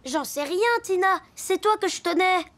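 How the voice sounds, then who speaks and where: A woman's voice: extremely high pitch, lively intonation, moderate pace, a bit bright. A woman voicing a naive, good-natured little boy hippo in a children's cartoon, innocent and earnest. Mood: sheepish. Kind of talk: animated children's cartoon acting.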